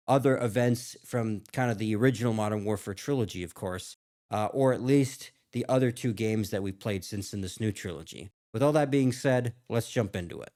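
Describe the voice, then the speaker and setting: A man's voice: mid-range voice, darkish tone. A man hosting a gaming reaction video, talking casually and thinking aloud in a musing, hedging way.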